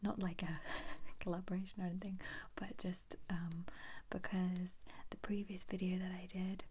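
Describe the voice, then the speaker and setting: A woman whispering in a gentle, breathy, chatty ASMR style, as if showing someone through a book. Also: on the low side, level tone, normal speed, slight background noise. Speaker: ASMR video host. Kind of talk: soft-spoken ASMR book flip-through.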